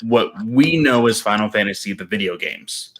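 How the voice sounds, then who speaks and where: A man's voice: on the low side, lively intonation, normal speed, fairly bright tone. A man co-hosting a casual podcast, talking in a loose, rambling way with many ums and restarts. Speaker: podcast co-host. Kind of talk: casual chat.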